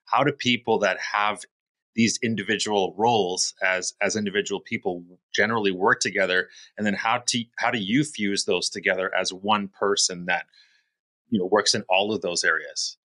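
A man co-hosting a podcast, asking relaxed, direct questions. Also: lowish voice, moderate pace. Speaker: podcast co-host.

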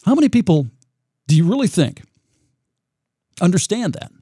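A man with a raspy voice hosting his own podcast, talking in a reflective, rambling way with frequent restarts. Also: sits high, very animated, moderate pace, dark tone, no room sound, studio quality. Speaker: podcast host. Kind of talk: solo podcast monologue.

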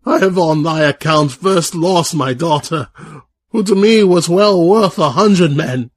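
High, husky, bitter old king. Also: unhurried, no echo. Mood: bitter, grieving. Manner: solemn, archaic, reproachful declamation. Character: bitter, grieving old king, stern but resigned.

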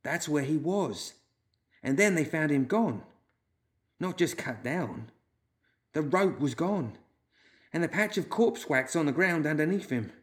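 A coolly knowing outlaw woman in a dark tale, acted by a man. Her voice sits higher, with a measured, matter-of-fact confidence. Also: expressive. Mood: knowing, grave.

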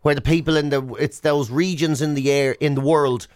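A man with an Irish accent co-hosting a radio show in casual, teasing, animated chat. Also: on the high side, brisk, clean tone, dry recording, clean studio sound. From Ireland.

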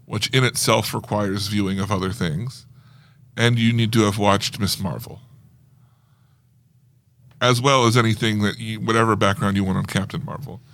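A man co-hosting a casual podcast discussion of films, talking in an animated, conversational way. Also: fairly low pitch, normal speed, pauses often. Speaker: podcast co-host. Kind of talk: casual movie trailer discussion.

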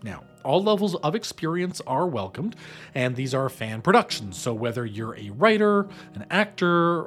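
A man presenting a scripted promotional outro and cast credits for an audio production. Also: mid-range voice.

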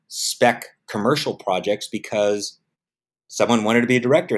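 Middle-aged man, lively interview storyteller. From United States. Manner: animated storyteller, acts out quoted voices with jumps in pitch; repeats words when restarting. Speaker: film producer guest. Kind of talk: casual interview talk.

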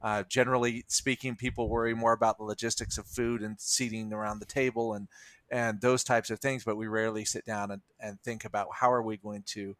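A man's voice: on the low side, level tone, a little fast, a bit bright, no echo. A man co-hosting a podcast who speaks thoughtfully in a casual chat, hesitating often and restarting his sentences. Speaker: podcast co-host.

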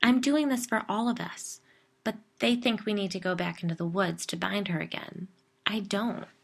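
A woman reading a story voices an anxious, defensive suburban woman who is haunted by a ghost and pleads in a high, strained voice. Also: normal speed, creaky. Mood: earnest.

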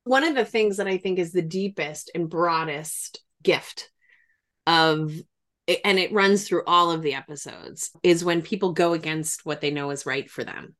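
A woman's voice: on the low side, a little slow, a bit bright. A woman interviewing on a podcast, talking in an earnest, emphatic and explanatory way. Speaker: podcast interviewer, grief professional. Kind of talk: reflective interview talk.

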